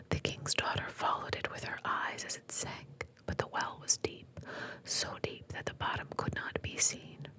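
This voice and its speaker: A woman reading fairy tales aloud in a breathy, unvoiced ASMR whisper, slow and deliberate. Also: lowish voice, rough recording. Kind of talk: whispered ASMR storytelling with asides.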